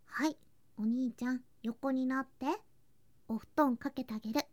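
Woman acting a high, soft, sweet younger cousin. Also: fairly even intonation, normal speed, no echo, lightly acted. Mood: sleepy, tender. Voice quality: soft, breathy, often whispering. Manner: cutesy, affectionate, coaxing. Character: sweet, clingy younger girl cousin, affectionate and sleepy. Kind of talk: Japanese ASMR situation voice drama. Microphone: close ASMR microphone.

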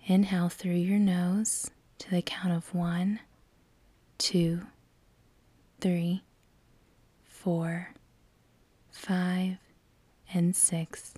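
A woman guides a meditation in a soft, breathy near-whisper. Her delivery is very slow and calm, with long pauses between phrases. The tone stays level and mellow, barely moving in pitch. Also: no room sound.

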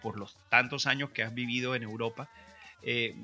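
A man interviewing in a reflective, literary way, choosing his words deliberately and haltingly. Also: medium pitch, fairly even intonation, sharp tone, faint music behind.

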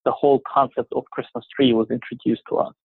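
Egyptian guy on a phone line, halting, lots of ums, dry and tinny. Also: medium pitch, level tone, vocal fry, breathy edge, no echo. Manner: halting, many fillers and restarts. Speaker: interview guest. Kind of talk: casual conversation.

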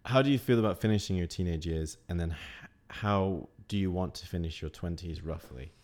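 A man's voice: low-pitched, brisk, a little mellow. A man in his late twenties talking reflectively in a casual chat, rambling a little and laughing often. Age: 20s.

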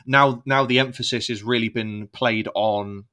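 A man's voice: medium pitch, steady tone, measured pace, pushed and bright. A man co-hosting a casual video game chat, explaining things and rephrasing as he goes. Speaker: podcast co-host.

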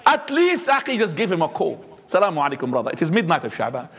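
A man, preaching a sermon as an imam, swinging between high and low. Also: wildly swooping pitch, fast, fairly bright tone, slightly rough. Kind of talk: Friday sermon. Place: mosque. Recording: echoey hall.

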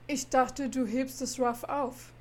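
A woman reading the lines of a calm, steady man who runs a ranch for troubled teens, in a reassuring, measured way. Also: sits high.

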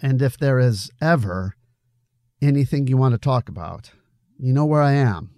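A man's voice: medium pitch, animated, moderate pace, a little mellow, no echo, clean studio sound, close to an everyday voice. A man playing a good-hearted, concerned young man with an earnest, plain-spoken manner in an audiobook story. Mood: friendly, reassuring.

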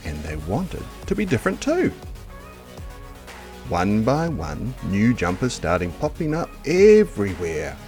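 A man narrates a picture book with very lively, swooping intonation. He takes his time over each phrase, in a measured storytelling way, with soft music behind him. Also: fairly low pitch. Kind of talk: expressive picture book read-aloud.

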